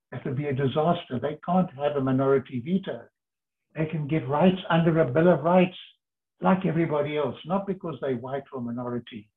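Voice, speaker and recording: An older man from South Africa, a lawyer, telling his story in an earnest, measured way with pauses. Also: mid-range voice. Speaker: interviewee, lawyer. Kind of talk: reflective storytelling. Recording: tinny phone-like line.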